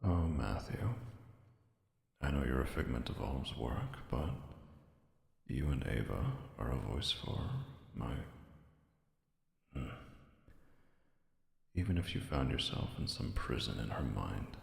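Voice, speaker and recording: An actor playing a brooding man who muses poetically and haltingly in an audio drama soliloquy. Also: extremely low pitch, lively intonation, creaky, heavily acted. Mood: pensive. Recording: echoey reverberant recording.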